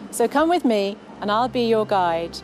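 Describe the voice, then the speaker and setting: A woman author giving a measured, articulate scripted presentation about her own book, speaking outdoors on city streets. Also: mid-range voice, lively intonation, soft background music. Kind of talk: scripted promotional narration to camera.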